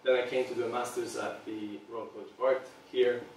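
A man's voice: medium pitch, level tone, brisk, a little mellow, distant mic, slight background noise. Serbian-born man presenting in an echoey room. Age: 30s. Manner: earnest, hesitant with frequent ums. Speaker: product designer giving a talk. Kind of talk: public presentation. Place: small meeting room with audience. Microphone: far camera.

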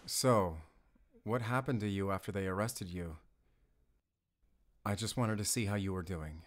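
Man acting a slow, halting, tender worried father. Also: deep voice. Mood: shaken, tender. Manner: halting, sighing, soft-hearted. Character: anxious, tender divorced father and lover. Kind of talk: audio roleplay acting.

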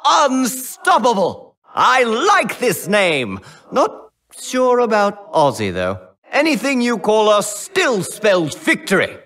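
High, bright, boastful knight. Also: male voice, huge pitch swings, takes it slowly, heavily acted. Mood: proud, cocky. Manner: heroic, boastful, theatrical battle cries. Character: bold, gallant undead elf jousting knight, cocky and eager. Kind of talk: video game character voice lines.

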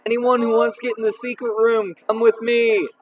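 A man with a high voice talks over a multiplayer game with his friends. He speaks loud and booming, his voice projecting well, and he is quick to get excited and laugh. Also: lo-fi sound, crowd noise. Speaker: gamer. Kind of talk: casual gaming chat with friends.

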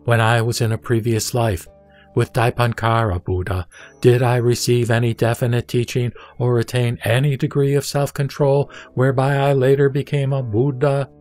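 A man's voice: mid-range voice, level tone, normal speed, darkish tone, soft background music, natural voice. A man playing the Buddha in a scripture audio drama, a serene teacher speaking formally and instructively. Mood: calm teaching. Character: the Buddha, serene authoritative male teacher addressing his disciple.

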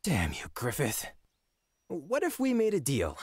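A man's voice: on the high side, wildly swooping pitch, unhurried, breathy edge, dry recording, studio quality, full character voice. A young man voicing a wry, exasperated, earnest young lord in a fantasy game, with an incredulous manner. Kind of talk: fantasy game character acting.

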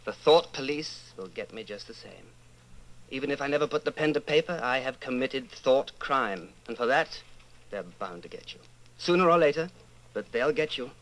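A troubled middle-aged man played by an actor speaks his thoughts in a medium-pitched voice. He is halting, intense and fearful, in old-time radio drama style. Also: expressive, a bit bright, lightly acted, rough recording. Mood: fatalistic, defiant. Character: brooding, fearful 39-year-old man, secret rebel.

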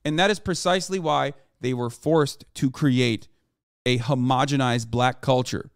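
A man's voice: mid-range voice, measured pace, clear, resonant tone, no echo. A man in his thirties giving emphatic, profane, lecturing political commentary on a livestream into a close desk microphone. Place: small room at home, indoors.

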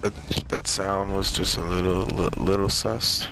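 A man's voice: sits low, flat delivery, measured pace, background noise. A man in his early twenties bantering casually in game voice chat with slang and swearing. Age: early 20s. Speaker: gamer. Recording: clear music over the voice.

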